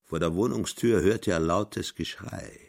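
A man's voice: low-pitched, unhurried, gravelly, no echo, clean studio sound. A man reading scripted literary narration in a radio play.

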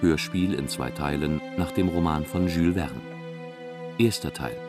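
Very deep announcer over music. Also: male voice, a little slow. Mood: sober, formal. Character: sober announcer of a radio play. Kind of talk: formal title announcement.

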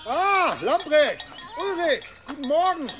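Very high-pitched, excitable goat farmer. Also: male voice, takes it slowly, over loud music, full character voice. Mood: cheerful, doting. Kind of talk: German radio drama acting. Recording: noisy farmyard scene with animals.

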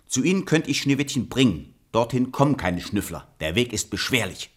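An actor playing a sly, cheerful and helpful puppet-play trickster in a radio play, persuasive and playful. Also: male voice, medium pitch, big pitch swings, brisk, husky. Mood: crafty, reassuring. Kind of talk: German radio play acting.

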